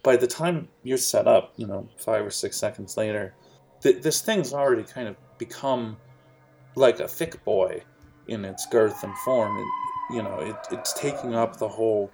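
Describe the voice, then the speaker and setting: A man's voice: on the low side, moderate pace, a little mellow. A young man narrating a tabletop game as game master, rambling and jokey, with many fillers.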